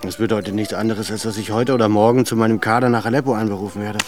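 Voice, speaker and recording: A man's voice: lowish voice, steady tone, racing, soft background music, lightly acted. An actor playing a thoughtful, controlled middle-aged Armenian father and reserve officer in a radio drama. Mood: concerned, resolute. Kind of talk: naturalistic German radio drama acting.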